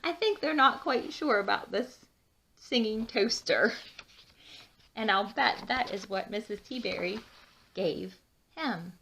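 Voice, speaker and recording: A woman reading a children's storybook aloud with expressive, drawn-out emphasis and chatty asides. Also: medium pitch, gravelly, a little hiss or hum. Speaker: children's book read-aloud narrator.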